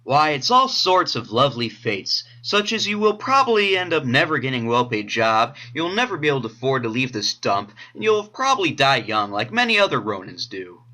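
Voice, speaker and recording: A cocky teenage boy acted by a man with a bright, forceful mid-range voice and lively swings of pitch. He is sarcastic and taunting, a mocking punk in an anime audio drama. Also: a little fast, few pauses.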